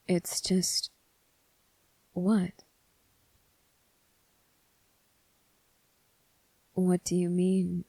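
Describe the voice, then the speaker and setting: Kind young male cadet female performer, ASMR roleplay. Slightly low, dark soft tone, unhurried, polite, hesitant, reassuring. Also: dry recording, poor audio. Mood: concerned, insistent. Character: kind, earnest teenage boy military cadet, soft-spoken and caring.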